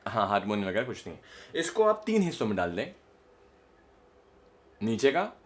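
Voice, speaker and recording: A man's voice: lowish voice, wildly swooping pitch. A young man teaching voice modulation in a casual spoken lesson, explaining exercises patiently and demonstrating them himself. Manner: slow, explanatory, repeats a line on rising notes. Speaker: voice coach.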